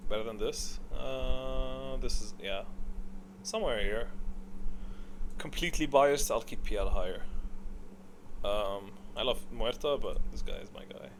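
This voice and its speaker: A man's voice: medium pitch, a little slow, long pauses, slight background noise. A man giving casual, unscripted, opinionated commentary as a video creator, thinking aloud and often answering his own questions. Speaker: video creator ranking game characters.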